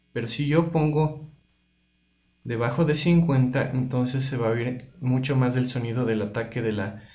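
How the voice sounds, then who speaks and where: A man giving an informal guitar effects tutorial, explaining things in a halting way with restarts. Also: medium pitch, darkish tone. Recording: roomy, reverberant room sound. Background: electric guitar playing.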